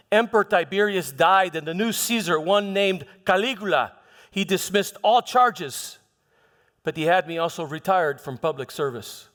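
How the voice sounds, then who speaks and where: Man acting as aging Roman governor, reflective, measured and halting, theatrical storytelling, slightly high pitch, long hall reverb. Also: fairly bright tone. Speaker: performer in a church dramatization. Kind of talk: dramatic first-person monologue. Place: large church hall.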